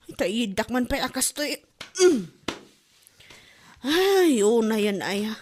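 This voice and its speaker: Ilocano comedy drama wife played by a woman: fairly high voice, nosy, envious, whiny, self-pitying. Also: sing-song, slow, a little mellow, slight background noise. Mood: ailing, self-pitying. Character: envious, nosy, sickly married village woman, melodramatically wounded. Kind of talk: comedy radio drama acting.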